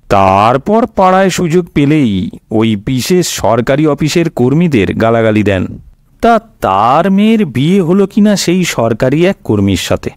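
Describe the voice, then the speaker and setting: A man's voice: medium pitch, a little mellow, no room sound, studio quality. A man with an Indian Bengali accent telling scripted satirical stories in a colloquial, wry, explanatory way. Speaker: comic storyteller.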